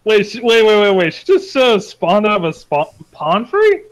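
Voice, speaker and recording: A man bantering casually in a voice chat, excitable and teasing, on a slightly noisy connection. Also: high-pitched, moderate pace, crowd noise. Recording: voice chat with noise and clicks.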